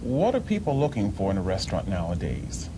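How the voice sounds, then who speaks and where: A man's voice: sits low, huge pitch swings, a little fast, noisy background. A man hosting a local TV show, interviewing a restaurant owner with short questions. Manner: brief prompts and "mm-hmm" replies. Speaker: host.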